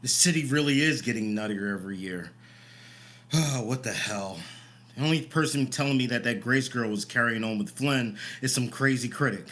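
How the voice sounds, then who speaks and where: A man acting a gruff, cynical, world-weary city detective in a radio play, with a wry manner. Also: mid-range voice, moderate pace, a bit bright, lightly acted.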